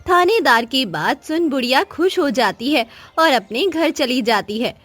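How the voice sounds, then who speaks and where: A woman's voice: high voice, animated, measured pace, a bit bright, no echo. A woman narrator reading a scripted children's moral story.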